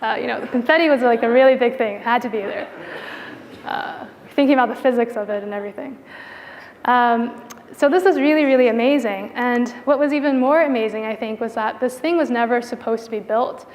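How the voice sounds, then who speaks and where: High-voiced woman presenting in a reverberant hall. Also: fairly quick, slight background noise. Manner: casual, informal phrasing with frequent "like" and "you know". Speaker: product designer giving a conference talk. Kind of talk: conference presentation, conversational. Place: indoor conference venue. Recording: reverberant hall with long echo.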